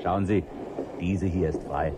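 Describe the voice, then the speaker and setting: A helpful, jocular male train conductor in a vintage radio play. His voice sits fairly low and he chats readily. Clear noise is heard behind him. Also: fairly even intonation, unhurried. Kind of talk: 1980s German radio play acting.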